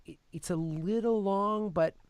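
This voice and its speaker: A man hosting a casual film review chat as a comedian, telling things in an animated way with mimicked quotes. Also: high voice, a little slow, clean tone, dry recording. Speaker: podcast host and film reviewer; touring stand-up comedian.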